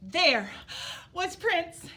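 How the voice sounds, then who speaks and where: A woman reading a picture book aloud to young children as a storyteller, animated and emphatic, drawing words out for drama. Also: extremely high pitch, drawn-out words. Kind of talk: expressive children's read-aloud.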